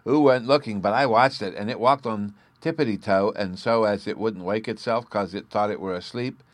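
A man's voice: fairly low pitch, a little fast, dry recording, pitched above the performer's own voice, lightly acted. A man voicing an eager, stubborn little fairy boy with a babyish lisp, in an audiobook. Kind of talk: audiobook character voice.

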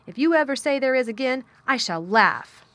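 A woman voicing a hot-tempered, bossy little girl in a storybook, with a sharp, scolding manner. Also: sits high, lively intonation, moderate pace, pitched above the performer's own voice. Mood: cross, insistent.

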